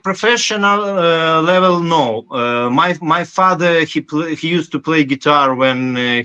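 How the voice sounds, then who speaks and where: A man from Ukraine answers an interview in careful, halting English, pausing often to find his words. His voice is bright and forceful, and sits a little high for a man. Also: clear, resonant tone, lo-fi sound. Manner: halting non-native English with many pauses. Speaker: guest musician.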